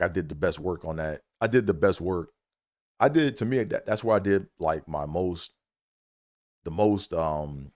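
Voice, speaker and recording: A man's voice: deep voice, a little mellow, no echo, studio quality. A middle-aged man from Maryland, a comedian and engineer hosting his own livestream, talking loosely with lots of fillers and "you know what I'm saying.". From Maryland, USA. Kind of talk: casual solo livestream monologue.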